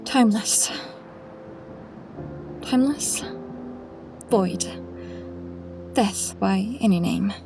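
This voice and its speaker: A woman's voice: mid-range voice, drawn-out words, long pauses. A woman playing a weary, resolute, grieving heroine in a fantasy audio drama, solemn and restrained. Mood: solemn prayer. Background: music.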